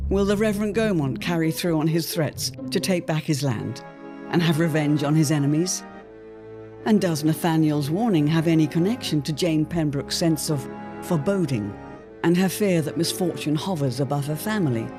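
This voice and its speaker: Female serial announcer, low voice, scripted narration, highly varied intonation, music underneath. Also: lively intonation, normal speed.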